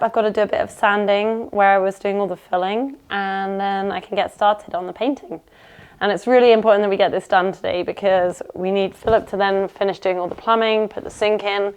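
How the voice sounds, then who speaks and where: A woman in her thirties with a hoarse, husky voice from a cold, chatting casually to camera while decorating a small indoor laundry room. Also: medium pitch. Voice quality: husky, lost voice. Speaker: vlogger renovating a home. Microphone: camera on a stand nearby.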